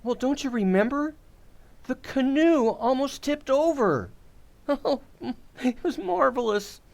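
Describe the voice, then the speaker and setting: A man acting a bumbling, forgetful, excitable husband and father in an old-time radio comedy, with a flustered, stammering delivery. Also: very high voice, takes it slowly, vocal fry, dry recording, exaggerated voice. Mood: excited, flustered. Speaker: amateur actor.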